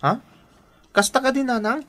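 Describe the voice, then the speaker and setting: A woman playing a gentle, dutiful schoolboy from a poor family in a radio drama, earnest and respectful. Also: high-pitched, normal speed. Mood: caring, modest.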